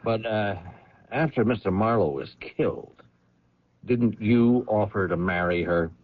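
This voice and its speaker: Deep-voiced, dogged police lieutenant. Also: male voice, no echo. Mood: probing, skeptical. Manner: pointed questioning with deliberate pauses. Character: probing police lieutenant, dogged and skeptical interrogator. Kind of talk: radio drama acting. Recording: dull old radio recording.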